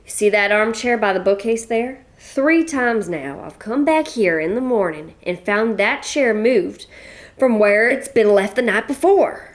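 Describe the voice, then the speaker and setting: Brief: woman voicing a no-nonsense western ma, folksy twang, a bit high. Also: animated, a little slow. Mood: blunt. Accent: American Western. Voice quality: blunt, folksy. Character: brash widow from the American West, middle-aged. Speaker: Mrs. Gibson.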